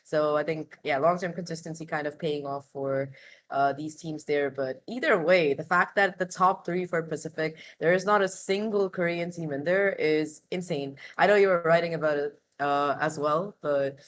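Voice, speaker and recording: A woman's voice: sits low, normal speed, a little hiss or hum. A woman co-hosting an esports podcast, casually discussing competitive gaming news.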